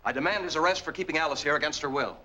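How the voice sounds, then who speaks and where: Brisk, bright young 1940s film hero. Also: male voice, a little high. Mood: indignant. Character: determined, indignant young man rescuing his sweetheart. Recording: dull 1940s film soundtrack with music.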